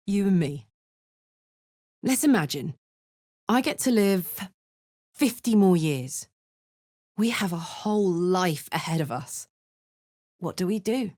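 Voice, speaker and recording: A brash, animated warrior woman. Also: lowish voice, no echo, studio quality. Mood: emotional, yearning. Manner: blunt, swearing, swinging between playful and intense. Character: brash, warm-hearted, battle-hardened woman warrior escaped from hell. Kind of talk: video game character voice acting.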